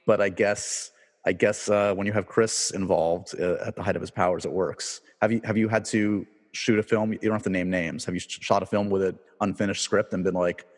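A man hosting an interview podcast, asking questions in a relaxed, hedging way full of "like" and "uh". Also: low voice, a little fast, long reverb tail. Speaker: podcast host. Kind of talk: casual interview chat.

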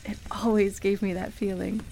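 A woman playing a nostalgic, wonderstruck shop customer in a radio play, with a hesitant, halting delivery. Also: medium pitch, flat delivery, mellow, slight background noise, natural voice, low-quality recording.

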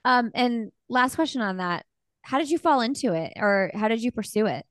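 A woman podcast host asking interview questions in a brisk, encouraging way. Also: fairly high pitch, expressive, no echo.

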